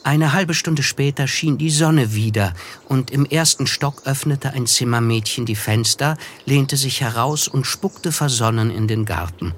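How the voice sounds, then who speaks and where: An actor playing a reflective first-person narrator, reading literary prose in a measured, wry way for a German radio drama. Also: male voice, mid-range voice, a little hiss or hum, close to an everyday voice. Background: music under the voice.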